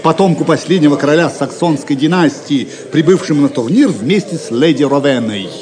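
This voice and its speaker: A man's voice: fairly high pitch, brisk. A man giving dramatic scripted narration for an audio drama. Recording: crowd noise behind. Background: crowd of spectators.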